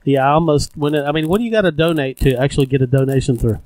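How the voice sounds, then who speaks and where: A man in his forties co-hosts a podcast, joking around in a relaxed way. His voice sits a bit higher than most men's, recorded dry and close. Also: rapid-fire, dry recording. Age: 40s. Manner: laid-back, joking. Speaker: podcast co-host. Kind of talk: casual chat.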